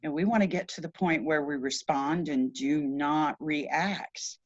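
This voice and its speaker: A woman in casual spiritual conversation, speaking earnestly and haltingly with emphatic repeats as a show host. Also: low-pitched, dry recording. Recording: dull, lo-fi audio.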